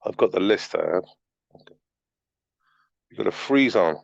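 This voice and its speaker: A man's voice: deep voice, lively intonation, normal speed, creaky, dry recording, lo-fi sound. A Welsh man hosting a live stream and commenting casually on riot footage, rambling with crude, sarcastic asides. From Wales.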